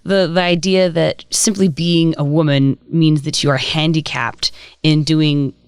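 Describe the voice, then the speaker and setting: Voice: mid-range voice, flat delivery, unhurried, clear, resonant tone, no echo, studio quality. A podcast host asking questions in an articulate, wry way, with laughing asides. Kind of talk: casual podcast interview.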